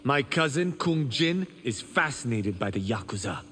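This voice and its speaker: An actor voicing a boastful, vain young monk in a video game. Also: male voice, mid-range voice, sing-song, normal speed, a bit bright, a little hiss or hum. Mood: cocky.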